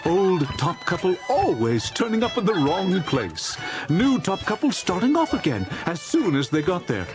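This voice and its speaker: A man's voice: sits high, wildly swooping pitch, moderate pace. A man narrating a dramatized storybook in a theatrical, jovial manner. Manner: theatrical, jovial, relishing lists. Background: music.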